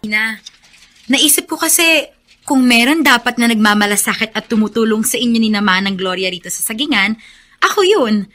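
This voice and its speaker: A woman's voice: on the high side, animated, moderate pace, fairly bright tone. A woman playing an eager, friendly young woman in a Filipino radio drama.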